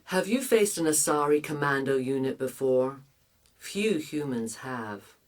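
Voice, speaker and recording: Regal, menacing alien matriarch for a game; deep female register, very measured pace. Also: animated. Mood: cold, imperious. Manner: stately, measured. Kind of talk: video game dialogue. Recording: music behind the voice.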